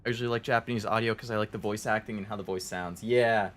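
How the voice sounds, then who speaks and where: A man's voice: on the low side, fairly even intonation, quick pace, fairly bright tone. A man giving casual, conversational commentary as he streams a video game, musing as he goes. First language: English. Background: game music and dialogue.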